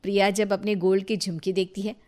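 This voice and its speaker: A woman's voice: medium pitch, little pitch movement, a little fast, a little mellow, a little hiss or hum. A woman narrating a scripted moral story as its storyteller.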